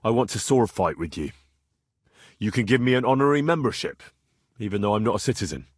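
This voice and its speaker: Game role: cheeky young swordsman in a medieval town. Husky, very animated, blunt bargainer. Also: fairly low pitch, fast talker, dry recording, clean studio sound. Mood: cocky bargaining. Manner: blunt, haggling, cocky. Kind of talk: video game character dialogue.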